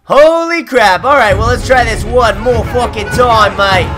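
A young man streaming a war game, loud, swearing and yelling at the action, into a headset mic in a small room. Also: very high voice, a little slow. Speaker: gaming streamer. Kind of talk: let's play commentary. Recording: loud game music and gunfire behind.

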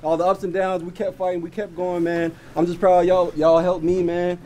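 A man's voice: high voice, level tone, moderate pace, mellow, slight background noise. A young man, a player, thanking his teammates in casual, heartfelt slang in a crowded locker room.

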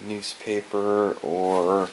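A man casually narrating as he explores an abandoned farmhouse, musing quietly and sometimes dropping to a whisper, recorded on a handheld camera mic indoors. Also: level tone, drawn-out words, noisy background. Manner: musing, low-key, trailing off into soft whispers.

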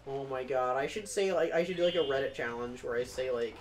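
A man's voice: mid-range voice, a little fast. A young man chatting casually while playing a video game with a friend, joking and laughing often. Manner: joking, giggly, animated. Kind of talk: casual gaming chat.

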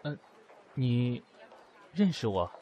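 A man's voice: mid-range voice, very animated, slow, dark tone, lo-fi sound, a little hiss or hum. A man playing a proud, wounded math teacher in an audio drama, speaking in a curt, cool way. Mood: annoyed, insistent. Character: proud, wounded male math teacher rejecting his former lover. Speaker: voice actor in an amateur audio drama. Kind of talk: acted audio drama dialogue. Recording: music behind the voice.

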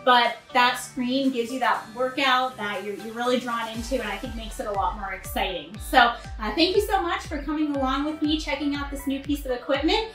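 A woman's voice: high-pitched, normal speed, few pauses, fairly bright tone. A woman in her thirties casually reviewing home gym equipment to camera in a bare indoor room. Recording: echoey room, faint music behind.

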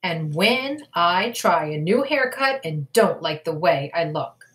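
Storybook old horse, read by a woman: kindly, candid, confiding, measured and slow. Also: fairly low pitch, lively intonation.

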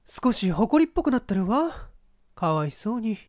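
Man voicing a high-pitched, coquettish young actress. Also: very animated, moderate pace, darkish tone, dry recording, clean studio sound. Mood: playful. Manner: coquettish, feminine phrasing.

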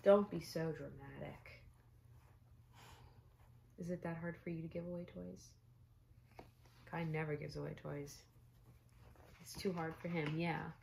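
A young woman reading a picture book aloud in a bedroom, adding gentle chatty asides for her listeners. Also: low voice, flat delivery, moderate pace, creaky, pauses often, slight background noise. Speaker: storybook reader. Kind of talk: read-aloud storytime. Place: bedroom, indoors.

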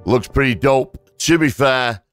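A hoarse man giving casual, rambling gameplay commentary as a gaming YouTuber, thanking his viewers. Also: medium pitch, unhurried, a bit bright, soft background music.

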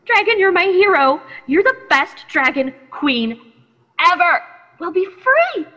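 A young woman playing an excitable, naive girl in an audio drama, gushing and exclaiming. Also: very high-pitched, a little slow, exaggerated voice. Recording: long cave-like reverb, slightly dull.

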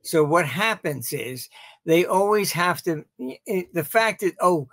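An older man with a fairly high voice gives expert commentary as an interview guest. He speaks very slowly and haltingly, with dismissive emphasis. The recording is a dry video call. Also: lively intonation, a bit bright, dry recording. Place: home office, indoors.

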